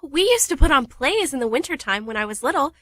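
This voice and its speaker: A woman's voice: sits high, big pitch swings, quick pace, fairly bright tone. A woman playing Diana, a chatty, teasing wife living in a remote cottage. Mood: nostalgic, cheerful. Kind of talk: amateur radio play.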